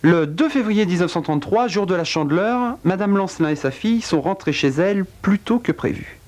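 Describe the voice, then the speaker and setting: A man telling a dark true-crime tale in a wry, theatrical way, with a cold. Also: expressive, fairly quick. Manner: wry, theatrical storytelling with big pitch swings. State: has a cold. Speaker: narrator. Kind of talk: dramatic spoken narration.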